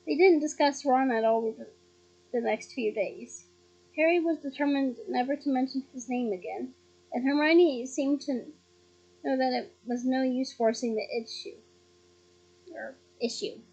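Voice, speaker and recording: A slightly hoarse woman reading a novel aloud at home, breaking off for chatty, giggly asides. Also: high voice, unhurried, a little mellow, low-quality recording. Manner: chatty, giggly asides between read passages. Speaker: reader of a novel. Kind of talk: casual read-aloud with asides. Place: at home on her bed.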